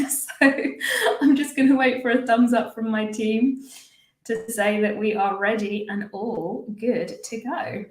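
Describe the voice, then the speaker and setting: A woman's voice: mid-range voice, reverberant. Upbeat woman hosting a live stream. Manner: upbeat, chatty, laughs often. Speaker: host and language teacher.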